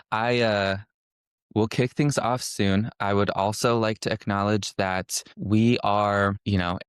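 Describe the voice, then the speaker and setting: A young man from California talks through a webinar in a relaxed, reflective way, often pausing to restart a thought. His voice sits very low and comes across smooth and resonant. The recording is close and dry, with no room sound. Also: no echo. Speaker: social work student presenter. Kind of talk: casual webinar presentation.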